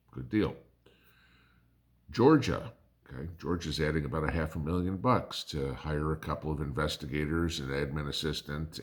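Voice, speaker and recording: Very deep hoarse man, blunt rant. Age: 50s. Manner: blunt, combative, repeats "okay?". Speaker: prepping channel host. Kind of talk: casual opinion monologue.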